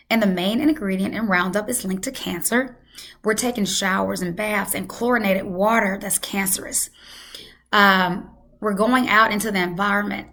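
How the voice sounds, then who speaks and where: A woman hosting a health channel, hoarse from losing her voice, talking casually and emphatically to her viewers. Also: mid-range voice, bright, forceful tone. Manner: emphatic, repeats for effect. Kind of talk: casual talk to camera.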